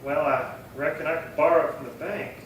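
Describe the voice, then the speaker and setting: An actor playing an anxious rancher in a western radio play, pleading and defensive in a halting way. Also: male voice, fairly high pitch, fairly even intonation, natural voice. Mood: frightened, defensive. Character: anxious, pleading rancher, the guilty younger-sounding brother. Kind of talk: old-time western radio acting. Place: live theatre stage performance. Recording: very roomy, distant, noisy stage pickup.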